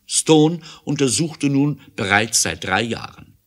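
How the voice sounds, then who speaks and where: A man's voice: mid-range voice, animated, takes it slowly, fairly bright tone. A man narrating a 1970s German adventure audio drama as a matter-of-fact storyteller.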